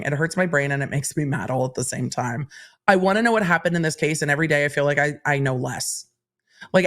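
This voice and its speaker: A woman hosting a livestream, chatting candidly and reading out viewer questions. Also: deep voice. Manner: chatty and candid, answering questions in an even, explaining way. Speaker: legal commentary livestream host.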